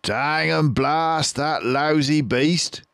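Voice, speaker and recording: A man's voice: fairly high pitch, extremely slow, gravelly, fairly bright tone, no room sound, clean studio sound, pitched above the performer's own voice, heavily acted. A man voicing a fat, mean, angry farmer in a storybook, full of bluster. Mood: exasperated.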